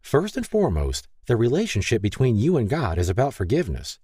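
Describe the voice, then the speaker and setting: A man voicing a gentle, motherly woman who speaks softly and reassuringly in an audiobook. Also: medium pitch, huge pitch swings, fairly quick, slightly rough, voice pushed up. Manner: soft, reassuring, affectionate. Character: gentle, motherly older woman, kind host. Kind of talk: audiobook character reading.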